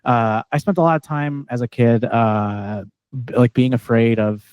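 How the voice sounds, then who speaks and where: A man's voice: mid-range voice, fairly even intonation, measured pace, darkish tone, clear, resonant tone, no room sound. A man whose first language is Spanish, hosting a casual podcast conversation in a reflective, searching way with frequent restarts. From California, partly raised in Mexico. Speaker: podcast host.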